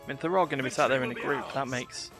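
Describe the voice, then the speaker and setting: A man's voice: mid-range voice, rapid-fire. A man streaming a video game with casual commentary, tossing in playful sound words and asides. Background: game music.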